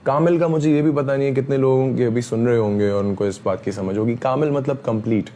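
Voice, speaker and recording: Man, emphatic, mellow voice, faint room noise. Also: medium pitch, fast talker. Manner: emphatic, repetitive, frequent fillers. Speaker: interview guest. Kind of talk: heated interview talk.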